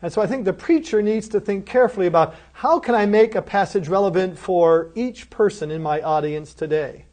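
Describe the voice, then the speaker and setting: A man with a fairly high voice explains preaching to students. His tone is earnest and instructive. He keeps turning to the listener with rhetorical questions. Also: fairly quick, hardly any pauses, darkish tone. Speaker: seminary lecturer. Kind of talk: lecture on preaching.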